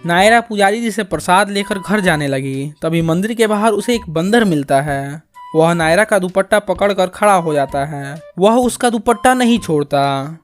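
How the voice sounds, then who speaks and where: A woman's voice: on the low side, expressive, moderate pace, soft background music. A woman reading scripted narration for a cartoon story.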